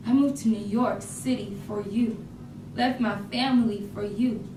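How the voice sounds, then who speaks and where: A woman's voice: mid-range voice, natural voice. A woman playing a wounded Southern woman in a staged poetic monologue, speaking in emphatic vernacular. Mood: hurt, accusing. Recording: echoey room, distant mic, clear background noise.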